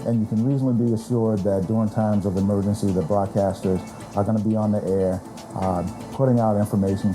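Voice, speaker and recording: An American man speaking as an emergency management official, explaining things in formal, measured phrases with small pauses. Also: low-pitched. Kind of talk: explanatory on-camera statement in an official video. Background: clear background music.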